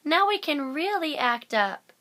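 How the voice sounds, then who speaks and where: Woman voicing high, excitable young schoolkids. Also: a little slow, heavily acted. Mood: rowdy, excited. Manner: exclaiming, eager. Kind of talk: storybook character voice.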